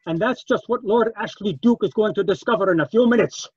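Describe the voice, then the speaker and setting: An actor playing a stubborn, indignant Scottish farm keeper in an old-time radio drama, speaking urgently and emphatically. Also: male voice, high-pitched, brisk, darkish tone, breathy edge, no room sound, dull audio. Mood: defiant.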